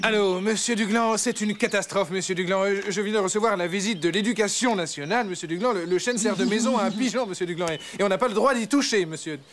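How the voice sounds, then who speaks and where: A put-upon cartoon workman acted by a man with a high-pitched voice. He sounds flustered and exasperated, deferential to his boss, over loud background music. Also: fast talker, hardly any pauses, natural voice. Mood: apologetic, deferential. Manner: flustered, hesitant, weary. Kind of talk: French animated cartoon acting.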